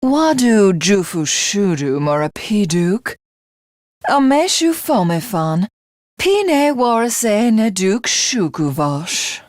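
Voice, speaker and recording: A woman's voice: mid-range voice, sing-song, slow, darkish tone, no room sound, clean studio sound. A woman voicing a megalomaniac alien warrior from a video game, haughty and theatrical, who devours rivals to become a god. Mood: haughty, alien speech. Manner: haughty, grandiose, theatrical, self-satisfied. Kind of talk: video game character voice acting.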